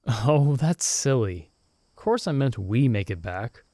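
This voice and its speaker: A young man voicing a loyal friend stranded in another world, who talks in a soft-spoken, gently teasing and reassuring way in an ASMR roleplay recorded close to the mic. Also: mid-range voice, animated, unhurried, dry recording. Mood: gentle, reassuring.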